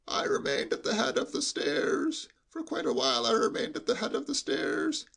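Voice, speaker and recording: A man reading a story aloud and voicing a timid old male butler with a high, bleating, quavering voice and a slow, deferential, hushed way of talking. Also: sing-song, vocal fry, breathy edge, heavily acted. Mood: timid, confiding.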